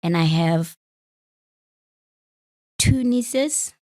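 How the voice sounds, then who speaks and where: A woman's voice: lowish voice, lively intonation, extremely slow, a little mellow. A woman in her late thirties, a podcast host talking openly and with some self-deprecating humor in a conversational interview. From Free State, South Africa. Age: late 30s. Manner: open, self-deprecating, laughs easily.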